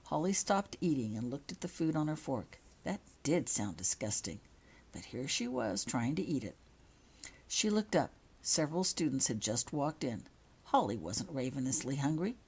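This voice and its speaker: Low, husky woman reading a story aloud. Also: big pitch swings, normal speed, a little mellow. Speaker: author reading own fan fiction. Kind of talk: scripted storybook narration.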